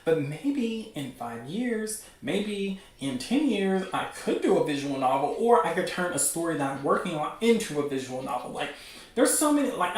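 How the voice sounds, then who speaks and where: A man hosting a casual livestream, talking in a gushing, excitable, rambling way peppered with "like". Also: on the high side. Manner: gushing, excitable fan enthusiasm; rambling, heavy use of "like". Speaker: livestream host. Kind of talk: casual livestream chat about webcomics. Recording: echoey room, distant from mic.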